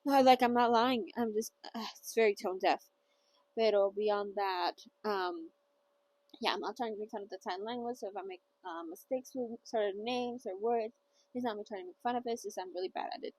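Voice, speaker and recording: A woman's voice: fairly high pitch, a little fast, a little mellow, rough recording. A woman hosting a casual novel read-along who rambles chattily and often trips over words. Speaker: book reader and host.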